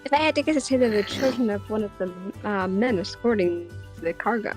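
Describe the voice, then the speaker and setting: A woman's voice: mid-range voice, animated, brisk, darkish tone. A woman casually co-reading a visual novel as a guest on a game stream. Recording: music behind the voice. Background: game music.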